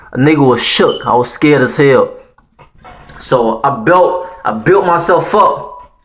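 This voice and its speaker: A man's voice: mid-range voice, a bit bright. Haitian-accented man telling a story. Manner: slangy and profane, peppered with "man" and "you know what I'm saying". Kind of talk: casual spoken storytelling to viewers.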